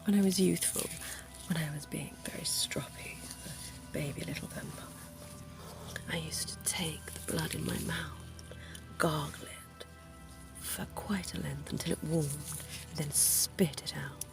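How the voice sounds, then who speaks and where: Woman as a slow, slinky vampire dentist, flirty ASMR whisper, low and gravelly. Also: steady tone, over music, poor audio. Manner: soft, teasing, intimate whispering. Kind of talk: ASMR roleplay.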